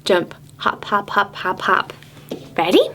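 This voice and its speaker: A woman's voice: mid-range voice, sing-song, slow pace, gravelly. A woman hosting storytime for preschoolers, speaking playfully in simple instructions to small children. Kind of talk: sing-song storytime for young children.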